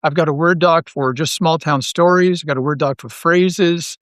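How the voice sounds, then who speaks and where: A man from Canada chatting casually as an interview guest, an animated storyteller who rambles and repeats his phrases. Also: a little high, normal speed, no echo, clean studio sound. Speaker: interview guest, audio drama writer.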